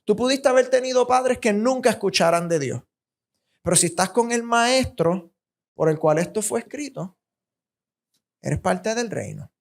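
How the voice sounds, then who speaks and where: Preacher, female, Puerto Rican accent. Slow delivery, deliberate and emphatic sermon style with repetition for weight. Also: mid-range voice, animated. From Puerto Rico.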